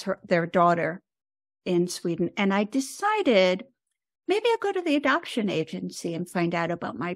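A seventy-year-old woman with an American accent gives reflective interview answers. She phrases things thoughtfully and haltingly, at a middling pitch. The sound is dry, with no room echo. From United States. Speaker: podcast guest, composer and author.

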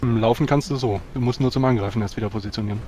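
A man's voice: mid-range voice, rapid-fire, a little mellow. A man chatting casually as a player in a tabletop game. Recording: faint music under the voice. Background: faint music.

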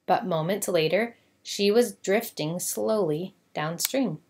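Female storybook narrator, lower-pitched, expressive scripted read-aloud for children. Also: slow pace, breathy edge.

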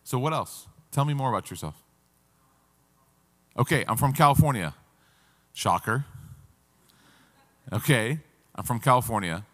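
A man's voice: medium pitch, animated, unhurried, pauses often, fairly bright tone, in a large hall. A man in his thirties, seated at a table, teaching an interactive Quran class and asking the audience questions. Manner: engaging, asks the audience questions.

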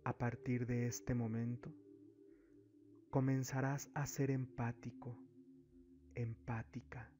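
A man narrating a guided meditation as a spiritual guide, giving deliberate instructions in short phrases with long pauses. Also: mid-range voice, little pitch movement, mellow. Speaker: spiritual therapist, meditation guide and channel host. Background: background music.

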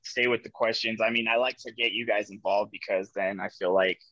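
A young man in his twenties speaks in a lowish voice, softly and reflectively, with lots of "like" and "um" as he tells his own story. He is on a video call from an office room. The webcam audio is dull and lo-fi, with a dry sound and almost no room echo. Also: fairly even intonation, fairly quick. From New Mexico, USA. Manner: soft-spoken, reflective, frequent "like" and "um" fillers. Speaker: guest sharing his recovery story. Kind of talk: candid personal storytelling on a podcast. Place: office room with ceiling lights.

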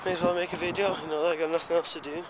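A man's voice: on the high side, level tone, rapid-fire. A teenage male amateur vlogger talking casually and hesitantly in a meandering, offhand way while walking. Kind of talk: casual rambling walking vlog. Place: outdoors on a residential street, walking. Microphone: handheld camera microphone. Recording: handheld camera outdoors, loud street noise.

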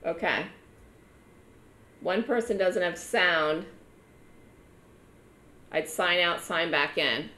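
Hoarse woman teaching a trading lecture. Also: on the low side, slow pace, pauses often, bright, forceful tone, reverberant. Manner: chatty, repeats words for emphasis. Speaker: trading educator running a trading room. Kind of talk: live online trading lecture.

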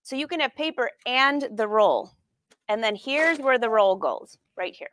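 A woman's voice: on the high side, animated, unhurried, a bit bright, a little hiss or hum. A middle-aged woman hosting a casual live craft demonstration, chatting informally and putting questions to her viewers. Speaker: host of a live sewing lesson. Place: sewing studio room, indoors.

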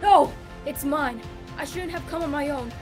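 A woman's voice: sits high, normal speed, over music. A woman reading a hot-headed young ninja. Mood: pleading. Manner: urgent, emphatic. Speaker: table read actor. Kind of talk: amateur table read acting.

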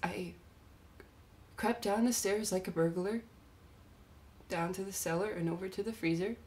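A woman performing a theatrical monologue as an unstable, self-loathing young woman, confessing in a halting, volatile way. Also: mid-range voice, fairly even intonation, darkish tone, a little hiss or hum, natural voice. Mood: haunted, hushed. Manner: halting, stammering confession swinging between whispers and cries.

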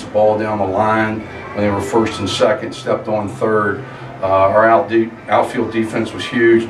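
A middle-aged man, a head baseball coach, answering at a post-game press conference, halting with many fillers and restarts. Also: on the low side. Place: press conference room with backdrop, indoors. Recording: echoey room, distant mic, background noise.

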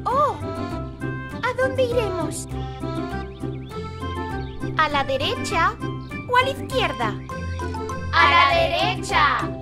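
Little girl cartoon voice, super high and slow, curious and sweet, kids music behind. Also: sing-song, full character voice. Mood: curious, excited. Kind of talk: preschool cartoon dialogue. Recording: children's music playing behind.

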